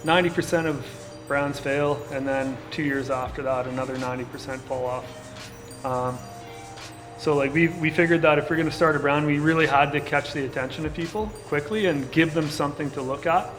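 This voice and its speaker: Middle-aged man from Canada, casual, slightly high voice, documentary interview with music underneath. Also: fairly quick. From Saskatchewan, Canada. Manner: relaxed and casual, with many filler likes. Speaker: co-founder and designer.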